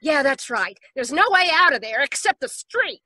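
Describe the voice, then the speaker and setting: A woman's voice: sits high, sing-song, moderate pace, bright, forceful tone, no room sound, full character voice. A woman playing a panicky, uneducated, brassy woman in old-time detective radio, with melodramatic, slangy working-class speech. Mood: wary, grudging. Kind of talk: 1940s radio detective acting.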